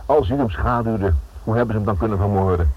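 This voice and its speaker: An actor playing a flustered, defensive writer in a radio play, hesitant and repeating words, with a Netherlands Dutch accent. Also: male voice, fairly low pitch, fast talker, slightly rough. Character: flustered, defensive male writer questioned by police. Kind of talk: radio drama acting. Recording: dull lo-fi old radio recording.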